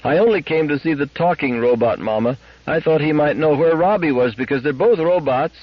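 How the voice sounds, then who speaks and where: A man's voice: mid-range voice, big pitch swings, brisk, breathy edge, dry recording, lo-fi sound, pitched above the performer's own voice. A man voicing a small, determined girl in a storybook, childlike, very polite and earnest. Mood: aggrieved. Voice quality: treble, childlike.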